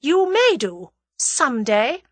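A woman's voice: fairly high pitch, very animated, normal speed, a bit bright, slightly rough, no echo, voice pushed up, exaggerated voice. A woman voicing a menacing, imperious villainess with a cold, commanding and scornful manner in an audiobook story. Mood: cold malice.

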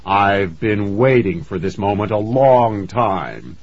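Man voicing a slow, menacing, vengeful ghost villain. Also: lowish voice, expressive, lo-fi sound. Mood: sinister gloating. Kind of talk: dramatized audiobook villain.

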